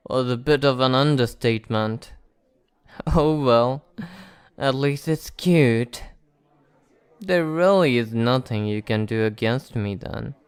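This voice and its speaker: Slow, smooth-voiced sly male vampire played by a man. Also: mid-range voice, no echo. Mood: teasing, mocking. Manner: slow, deliberate, mocking, condescending. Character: sly, teasing male vampire posing as small-town informant. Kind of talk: ASMR roleplay monologue to the listener.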